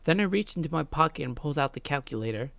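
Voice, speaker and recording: A woman's voice: low voice, lively intonation, fast talker, vocal fry, dry recording. A woman hosting a let's play, reading visual novel narration and adding casual gaming commentary, close on the mic.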